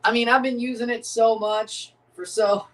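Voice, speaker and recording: A man's voice: very high voice, moderate pace, recorded from a distance. Rambling streamer man, a bit off-mic. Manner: rambling, excitable, repeats himself. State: voice getting scratchy from overuse. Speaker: streamer hosting a match watchalong. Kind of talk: casual livestream chat.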